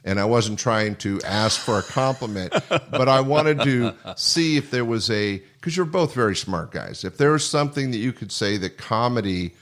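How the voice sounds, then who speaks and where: An older man with a low-pitched voice hosts a conversational podcast interview. He talks earnestly and tends to ramble as he works his way toward a question. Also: fairly bright tone. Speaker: podcast host.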